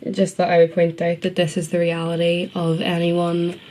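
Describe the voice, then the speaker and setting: Young female vlogger, Northern Irish accent, slightly low pitch, chatty and self-deprecating, informal delivery. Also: monotone, moderate pace, dark tone, slight background noise, rough recording. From Northern Ireland. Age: young adult. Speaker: student vlogger. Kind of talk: casual study vlog chat.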